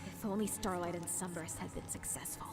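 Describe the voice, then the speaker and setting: A woman's voice: lowish voice, steady tone, fairly quick, natural voice. A woman playing an exhausted but defiant heroic pony in an audio drama, with a strained, emotional delivery. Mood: strained, bitter. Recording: music behind the voice.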